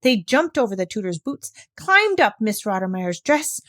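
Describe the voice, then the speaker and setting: A woman narrating a children's story as an audiobook reader, in an expressive style. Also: mid-range voice, moderate pace, no room sound, studio quality.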